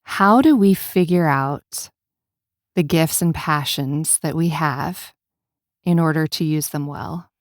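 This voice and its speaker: A woman's voice: low voice, slow, dry recording, clean studio sound. A woman hosting a casual podcast interview, speaking thoughtfully and affirmingly as she searches for her words.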